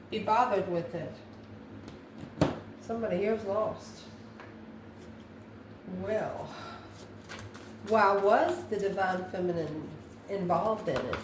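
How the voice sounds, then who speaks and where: A woman giving an intuitive tarot reading to viewers, musing aloud in short, halting phrases. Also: mid-range voice. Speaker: tarot reader, host. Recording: roomy echo, distant mic, background noise.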